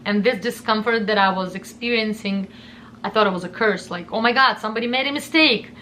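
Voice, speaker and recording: A woman's voice: medium pitch, a little fast, pushed and bright, slight background noise. A young woman from Russia with a Russian accent, telling a personal story in an earnest, emotional way and often repeating herself. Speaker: vlogger.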